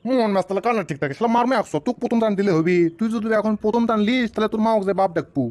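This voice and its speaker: A man voicing a high-pitched cheeky cartoon stoner uncle. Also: racing, darkish tone, a little hiss or hum, close to an everyday voice. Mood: bantering, fussy. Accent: northern Bangladeshi. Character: cheeky small-town stoner uncle, boastful and grumbling. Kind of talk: comic cartoon dialogue in rural dialect.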